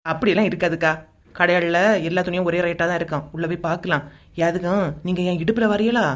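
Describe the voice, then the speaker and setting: A woman's voice: lowish voice, steady tone, quick pace, natural voice. A woman playing a teasing, bossy young village woman in a comedy cartoon, with a Kanyakumari Tamil accent. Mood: chatty. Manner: mocking, bossy. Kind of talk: comedy cartoon dialogue in local slang.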